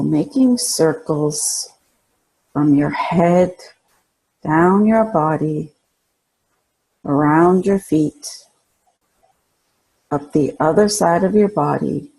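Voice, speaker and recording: Slow, mellow woman guiding meditation. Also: deep voice, gravelly, breathy edge, low-quality recording. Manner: calm, soothing, instructional delivery with pauses. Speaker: guided meditation host. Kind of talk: guided imagery meditation narration.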